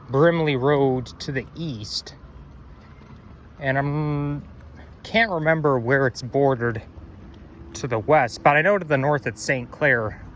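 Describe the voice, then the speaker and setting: Man, a bit hoarse and growly, rambling walk-and-talk, faint traffic behind. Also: medium pitch, fairly bright tone. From Toronto, Canada. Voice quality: strong, slightly growly. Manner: rambling, self-correcting, musing aloud. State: slightly hoarse, frog in throat. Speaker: walking-tour vlogger. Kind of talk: casual walking narration. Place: outdoors on city streets. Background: faint passing traffic.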